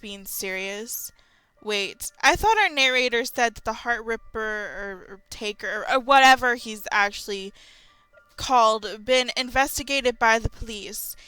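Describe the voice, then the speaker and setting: Young woman host, slightly nasal from a cold, bright and sharp, sarcastic story reader. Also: on the high side, no echo, poor audio. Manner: sarcastic, exasperated. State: has a cold. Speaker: channel host reading horror stories. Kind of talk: story reading with mocking commentary.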